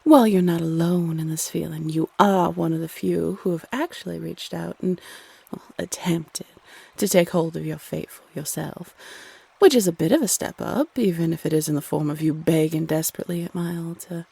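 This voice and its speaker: Female Cupid, played by a woman, roleplay audio: sultry, playfully condescending, creaky and husky, lingering over phrases. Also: lowish voice, dark tone. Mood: teasing, knowing. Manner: sultry, coy, teasing, drawn-out phrasing. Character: teasing, flirty female Cupid spirit, playfully condescending to humans. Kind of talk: intimate roleplay audio acting.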